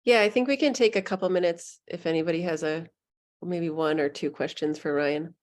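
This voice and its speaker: A woman's voice: on the low side, fairly quick. A woman hosting an online public meeting over a video call, upbeat and chatty with some ums. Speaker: meeting host.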